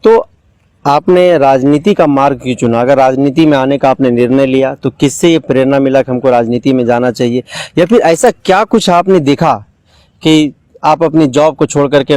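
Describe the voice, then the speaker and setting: A man's voice: mid-range voice, darkish tone, dry recording. A man working as an interviewer, putting long, wordy questions that repeat and build on their phrases.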